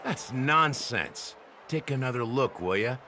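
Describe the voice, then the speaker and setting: A man's voice: medium pitch, expressive, a little slow, pushed and bright, slight background noise. A man voicing a stern, authoritative officer in a game, talking in an emphatic, commanding way. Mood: stern, exasperated. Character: stern, authoritative male officer, calmly scolding underlings. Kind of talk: game voice acting.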